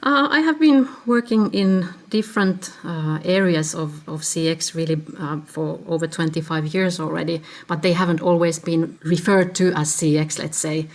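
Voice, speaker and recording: A Finnish woman in her fifties with a Finnish accent, a podcast guest explaining her career in a measured way, stopping now and then to find the word. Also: fairly low pitch. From Finland. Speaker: podcast guest, agency CEO. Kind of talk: conversational interview answers.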